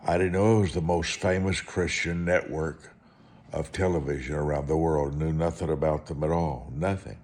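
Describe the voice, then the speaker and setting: A man preaching through personal storytelling, speaking in short, deliberate, emphatic phrases and repeating them for effect. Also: rumbling low, fairly even intonation, a little mellow. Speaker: preacher and author telling testimony. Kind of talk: storytelling sermon monologue.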